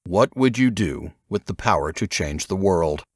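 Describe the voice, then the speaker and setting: A man voicing a stern, probing old intelligence veteran in an audiobook, terse, commanding and deliberate. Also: sits low, animated, husky, no room sound, studio quality, full character voice. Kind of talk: audiobook character dialogue.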